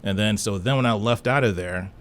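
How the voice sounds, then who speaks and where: A man, co-host of a radio show, telling a story in relaxed, animated talk. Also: on the low side, a little fast.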